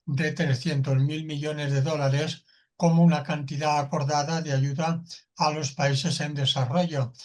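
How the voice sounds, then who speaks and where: A man asks a polite follow-up question, his voice pitched a little high. He keeps checking his points with small tag questions. He works through each point carefully. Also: level tone, measured pace. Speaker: participant asking a question. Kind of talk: panel question.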